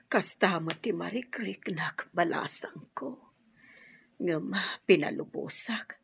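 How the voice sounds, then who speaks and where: A woman's voice: on the low side, expressive, takes it slowly, creaky, slightly rough, heavily acted. A woman acting an older, sorrowful, worried mother in a radio drama, speaking with an Ilocano accent. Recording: dull, lo-fi radio drama audio.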